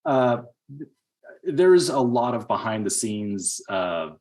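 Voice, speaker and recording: A man in early middle age co-hosting a podcast, talking casually about films in a halting, rambling way. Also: medium pitch, very animated, a little mellow. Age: 30s or 40s. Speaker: podcast co-host. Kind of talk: casual film discussion.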